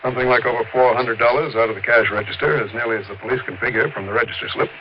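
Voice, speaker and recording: An actor playing a worried, businesslike American insurance manager in a 1950s radio drama, talking in an earnest, explanatory, conversational way. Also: male voice, medium pitch, steady tone, racing, close to an everyday voice. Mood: earnest, explaining. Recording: dull, slightly noisy 1950s radio recording.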